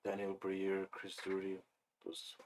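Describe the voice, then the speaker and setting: Low-voiced man chatting, loose fillers. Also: a little slow, a little hiss or hum, low-quality recording. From Afghanistan. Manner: relaxed, rambling with many fillers. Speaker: guest. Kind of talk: casual interview chat.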